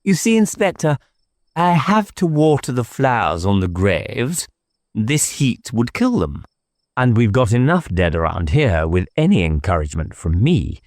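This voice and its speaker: A man's voice: medium pitch, sing-song, a little slow, darkish tone, no echo, clean studio sound. A man voicing a whimsical, evasive village clergyman with a coy, wordy and mannered way of talking, in an audiobook. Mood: dotty, airy.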